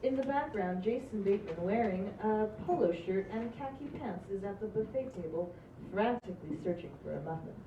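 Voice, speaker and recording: Female stage-directions reader for a table read: medium pitch, distant mic, strong room echo. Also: lively intonation, brisk, few pauses. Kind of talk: reading script directions at amateur table read. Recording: muffled, echoey, distant, noisy mic.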